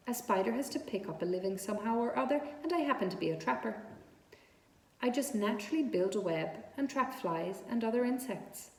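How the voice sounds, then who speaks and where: A woman's voice: medium pitch, distant mic, natural voice. In a roomy, echoing space, a woman reader plays a genteel female spider from a storybook. She is proud and unapologetic, explaining herself in a thin, pleasant, precise voice. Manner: matter-of-fact, precise, unapologetic.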